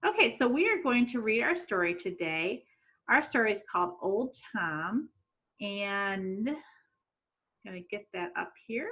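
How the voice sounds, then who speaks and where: Slow, expressive woman reading to children. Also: mid-range voice. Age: middle-aged. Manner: expressive, addresses young listeners with questions. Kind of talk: read-aloud storytime for children. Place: small room at home.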